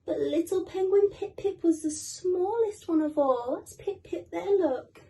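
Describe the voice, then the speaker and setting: British female storybook reader, expressive, high and mellow, speaking to young children. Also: measured pace, recorded from a distance. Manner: expressive, addresses young listeners. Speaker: guest reader. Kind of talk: children's storybook reading with asides.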